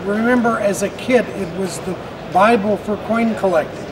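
A man's voice: high-pitched, normal speed. A man hosting and narrating a walk through a convention, talking in an upbeat, conversational way. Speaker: interviewer and host. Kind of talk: convention walkthrough narration and interview. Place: busy convention hall, indoors. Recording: crowded convention hall noise. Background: crowd chatter.

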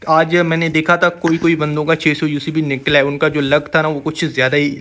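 A man's voice: fairly high pitch, flat delivery, a little fast, a bit bright, slight background noise. A man with a Pakistani accent giving a casual, informal voice-over walkthrough as a gaming channel host, chatting to viewers like brothers.

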